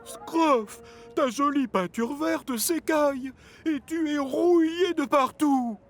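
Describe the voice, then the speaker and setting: A man's voice: very high-pitched, takes it slowly, faint music behind, full character voice. A man playing a fussy, dismayed railway controller in a French cartoon dub, emphatic and concerned. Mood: dismayed, insistent.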